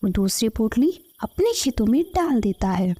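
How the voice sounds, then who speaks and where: Mellow, higher-pitched woman narrating a story. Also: big pitch swings, moderate pace, slightly rough. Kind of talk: scripted kids' story narration. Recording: music under the voice.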